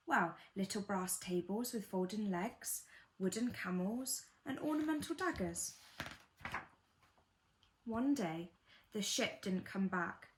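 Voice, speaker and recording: A woman reading a children's bedtime story aloud in an expressive storytelling manner, with gentle delivery and dramatic pauses. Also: medium pitch. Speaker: storybook reader.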